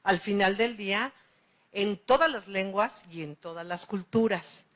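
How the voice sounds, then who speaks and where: A woman in the audience, asking a lecturer a question and building her point slowly and thoughtfully. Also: medium pitch, a bit bright.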